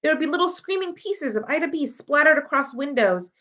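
A woman's voice: high voice, lively intonation, a little fast, a bit bright. A woman reading a children's novel aloud expressively, as a library storyteller. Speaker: library read-aloud reader. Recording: dull lo-fi audio.

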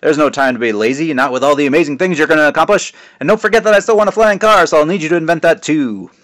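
A booming, theatrical dad who is always cracking jokes, his voice raised well above a plain speaking pitch. A man acts him out in a storybook reading, playing him loud and teasing. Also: fast, close to an everyday voice. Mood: hammy. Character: loud, joking, embarrassing middle-aged dad.

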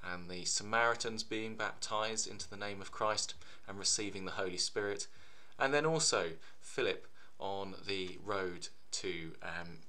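A man, an earnest vicar giving a steady sermon from his living room to a webcam. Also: fairly low pitch, measured pace, few pauses. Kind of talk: reflective sermon to camera. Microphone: webcam at desk.

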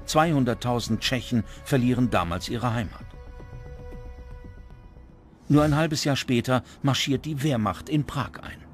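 Male documentary narrator, scripted delivery, medium pitch, music underneath. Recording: music bed behind the voice.

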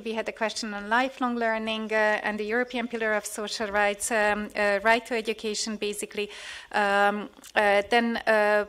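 A middle-aged woman runs the questions at a conference, talking politely into a desk microphone in a big hall. Her voice sits at an ordinary pitch, and she leans on "uh"s while she gathers points. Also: fairly bright tone, smooth. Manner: polite, with many fillers when summarizing. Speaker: moderator. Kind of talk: moderating a conference Q&A.

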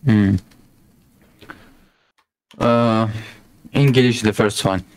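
A man from Iraq chatting casually with a stranger, relaxed with short replies. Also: lowish voice, very slow, clean tone. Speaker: stranger on video chat. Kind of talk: casual chat.

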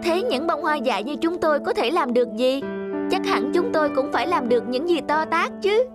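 Woman voicing a dreamy, high-pitched little flower. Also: lively intonation, brisk, over loud music. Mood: wistful wonder. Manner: wistful, wondering. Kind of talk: children's cartoon acting.

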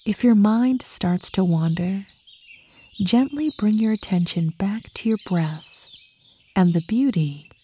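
Slow, mellow woman guiding meditation over birdsong. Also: medium pitch, slight background noise. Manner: calm, soothing, unhurried with long pauses. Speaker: guided meditation narrator. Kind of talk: guided meditation, slow scripted narration. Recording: birdsong and nature ambience behind. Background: birdsong, outdoor nature sounds.

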